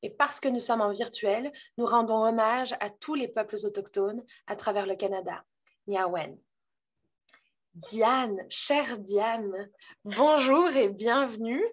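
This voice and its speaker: A woman's voice: on the high side, takes it slowly. A woman hosting a virtual interview, reading a prepared introduction with many hesitations. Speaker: interview host.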